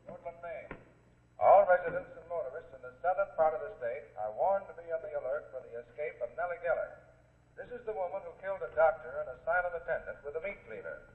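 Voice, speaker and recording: An actor playing a grave 1940s news announcer reading a bulletin over a car radio. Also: male voice, a little high, expressive, fast. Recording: echoey, muffled vintage radio with noise.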